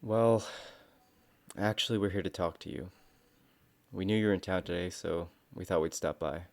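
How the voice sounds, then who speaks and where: A man plays an easygoing, world-weary rebel fighter in a fantasy audio drama. The voice is deep and gravelly, recorded very dry. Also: moderate pace, no echo. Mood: friendly, surprised.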